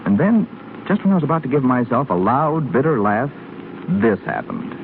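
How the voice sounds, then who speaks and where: Casting: persistent, pleading young American suitor, theatrical and lively, noisy narrow-band 1930s radio broadcast. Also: male voice, mid-range voice, moderate pace. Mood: earnest.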